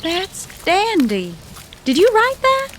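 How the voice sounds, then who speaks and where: A woman playing a plucky, brisk small-town woman with folksy old-fashioned slang in an audio drama. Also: very high voice, huge pitch swings, noisy background, exaggerated voice. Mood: breezy, teasing.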